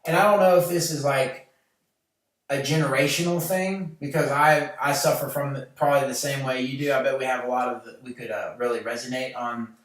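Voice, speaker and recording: A man's voice: medium pitch, steady tone, fairly quick, a bit bright. A man, hosting a podcast in loose, rambling casual chat full of fillers and restarts. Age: late 20s. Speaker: podcast host. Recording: echoey room, mic far off.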